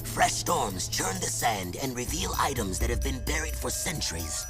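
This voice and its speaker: A man voicing a stern desert ruler in a video game, speaking with measured, grave authority. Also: medium pitch, very animated, natural voice. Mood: commanding, grave. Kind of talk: animated video game voice acting. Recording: music behind the voice.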